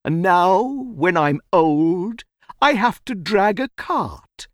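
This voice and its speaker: A woman's voice: on the low side, animated, slow, no room sound, clean studio sound, voice pushed up. A woman voicing a tired old horse in a storybook, bitter and mournful. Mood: weary, mournful. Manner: weary, mournful complaining.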